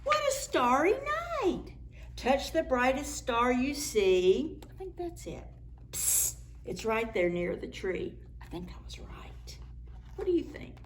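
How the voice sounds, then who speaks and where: A woman, a children's librarian, reading picture books aloud at preschool story time in an animated, sing-song way with soft whispered asides. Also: on the high side, takes it slowly.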